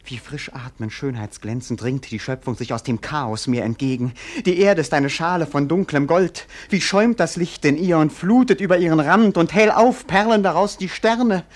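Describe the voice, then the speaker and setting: An actor playing a melancholy, romantic young prince in a classic radio play, declaiming lyrically and solemnly. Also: male voice, on the high side, moderate pace, hardly any pauses, natural voice. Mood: ecstatic.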